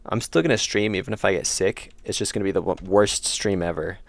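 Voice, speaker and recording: A man's voice: low-pitched, brisk, creaky, no room sound. A young man streaming his indie game development, chatting casually with viewers and rambling through self-corrections while losing his voice and feeling slightly ill. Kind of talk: casual livestream chat answering chat.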